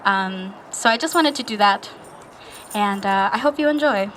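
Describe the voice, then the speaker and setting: A woman's voice: mid-range voice, normal speed, noisy background. A woman artist from Newark speaking earnestly to a crowd at an outdoor rally. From Newark, New Jersey. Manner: earnest, warm-hearted address to a crowd.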